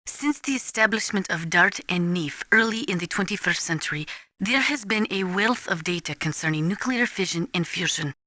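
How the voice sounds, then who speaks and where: Game casting: earnest female weapons expert advising a soldier, crisp forward tone, measured lecturing delivery, very dry studio sound. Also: fairly low pitch, pushed and bright, no room sound. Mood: measured, informative. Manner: measured, precise, didactic. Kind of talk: scripted expository video game voice acting.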